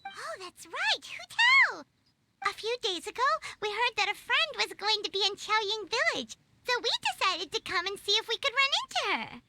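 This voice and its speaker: A woman voicing a tiny, childlike, excitable fairy companion with a squeaky, perky delivery in a video game. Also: normal speed, very noisy. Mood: bright, friendly. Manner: perky, speaks of herself in third person. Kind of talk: animated video game voice acting. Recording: loud music under the voice.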